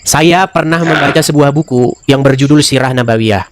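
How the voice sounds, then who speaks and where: A man's voice: mid-range voice, normal speed, no room sound. A man hosting an online interfaith debate, lecturing in a mocking way and firing off rhetorical questions. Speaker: debate room host.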